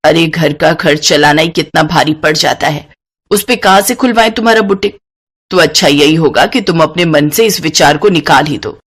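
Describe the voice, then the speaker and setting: A woman's voice: low voice, moderate pace, slightly rough. A woman voicing a stern older mother-in-law in a cartoon moral story, curt and scolding in manner. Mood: sarcastic, dismissive. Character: stern, sharp-tongued older mother-in-law, gruffly caring.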